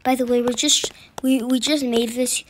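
A young girl from Mexico with a fairly high voice chats casually while gaming. She stammers and restarts her lines as she talks. Clear background noise runs behind her. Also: steady tone, moderate pace. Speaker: gaming channel co-host. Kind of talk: casual gameplay chat.

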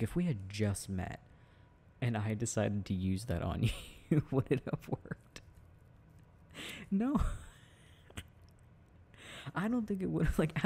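A young man with a lowish, gravelly voice plays an affectionate, teasing boyfriend. He talks in an unhurried, mellow way, casual and intimate, as if improvising to one listener. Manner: giggly, playful, affectionate, halting. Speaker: boyfriend roleplay audio performer.

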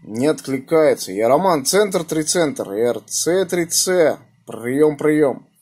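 Man, husky, slightly high pitch, casual slangy radio talk, call signs, phone recording indoors. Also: lively intonation, takes it slowly, darkish tone. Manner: colloquial, slangy. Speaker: amateur radio operator. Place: small room indoors beside radio equipment. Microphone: phone held near the radio.

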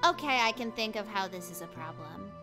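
A woman's voice: medium pitch, animated, brisk, music in the background, lightly acted. A woman voicing a prim, easily panicked young heroine in a comic dub, fussy and theatrical, with exclamations. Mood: dry, tired.

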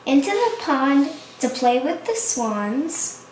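A little storybook duckling read aloud by a woman speaks in a high voice. The delivery is slow, childlike and sing-song, in simple rhymes. It is recorded in an echoey room. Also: big pitch swings, darkish tone, distant mic, heavily acted. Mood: happy, playful. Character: young duckling, playful, childlike, fond of rain. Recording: echoey room, faint noise.

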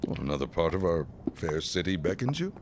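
An actor as a smitten gentleman suitor in a period audio drama, with an extremely low voice. He speaks in florid, formal, slightly smarmy phrases. The recording is clearly noisy. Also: sing-song, a little fast. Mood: courting.

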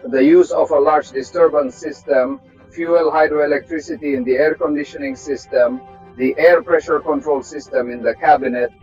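A man's voice: mid-range voice, a little mellow. Middle-aged guy walking thru cockpit systems step by step, kinda dull sound, faint music under. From Russia. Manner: measured, technical, step-by-step. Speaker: test pilot. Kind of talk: explanatory cockpit tour. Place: aircraft cockpit inside a hangar.

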